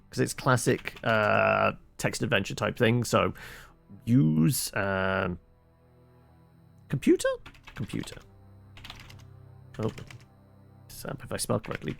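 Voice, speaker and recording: A man playing a text adventure game, reading it aloud dramatically with muttered commands and halting, casual asides. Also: on the low side, vocal fry.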